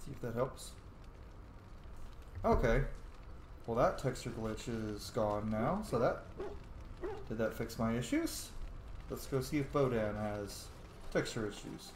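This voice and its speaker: A man's voice: medium pitch, animated, takes it slowly, pauses often. A man giving casual gameplay commentary, muttering and thinking aloud to himself as he plays a role-playing game. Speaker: gamer playing a role-playing game on camera or stream. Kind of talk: casual gameplay commentary mixed with reading dialogue choices. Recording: roomy echo, faint background noise.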